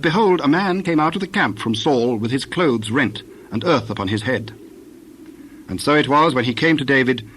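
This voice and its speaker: A man's voice: medium pitch, a bit bright, slight background noise. A man reading scripted Bible narration on an old cassette recording.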